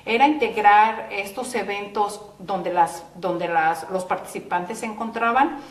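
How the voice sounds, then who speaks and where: A woman talks conversationally in a documentary interview, pausing now and then on fillers. She sounds set back from the mic, in a roomy, echoing space. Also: medium pitch. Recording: roomy recording from a distance.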